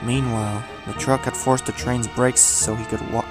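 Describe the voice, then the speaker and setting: A man's voice: medium pitch, little pitch movement, moderate pace. Man narrating a horror story over music.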